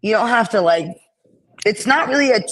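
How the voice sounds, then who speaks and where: Raspy-voiced woman musician on a call. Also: fairly high pitch, expressive, moderate pace, a bit bright, low-quality recording. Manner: earnest, rambling with many fillers. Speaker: musician guest. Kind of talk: casual interview chat. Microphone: laptop on a video call.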